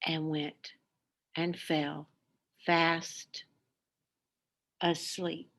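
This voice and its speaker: A woman reading a children's story aloud with expressive, deliberate, dramatic narration. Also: low voice, gravelly.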